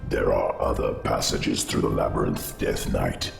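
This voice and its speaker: A man voicing an ancient, grave undead spider creature in a video game, speaking solemnly and formally with a monstrous rasp. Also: rumbling low, level tone, a little slow, exaggerated voice. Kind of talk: video game cutscene acting. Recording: echoing voice over faint music.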